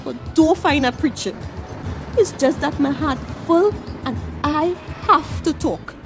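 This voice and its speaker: A woman's voice: sits high, slow pace, over music. A woman acting a small, harassed, weary mother and neighbour in a radio play, plaintive and confiding, with a Trinidadian accent. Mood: bitter, heavy-hearted.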